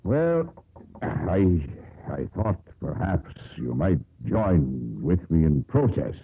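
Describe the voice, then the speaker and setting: An actor playing an anxious older sea captain and father, halting and formal, in 1950s radio drama style. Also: deep voice, sing-song, slightly rough, heavily acted. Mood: worried, pleading. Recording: old radio broadcast, narrow band.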